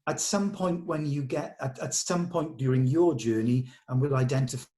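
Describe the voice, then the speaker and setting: A British man with a medium-pitched voice talks like a director to his cast. His delivery is informal, earnest and emphatic, with blunt, colloquial phrasing. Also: normal speed, warm tone. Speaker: director leading a table read. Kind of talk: informal character briefing to actors.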